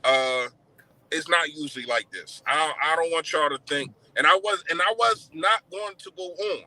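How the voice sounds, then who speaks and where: Bright-voiced joking podcast co-host man. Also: on the high side, normal speed, poor audio. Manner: loud, joking, animated outbursts. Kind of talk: casual live-stream chat. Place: in a car. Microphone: computer mic on a live video call.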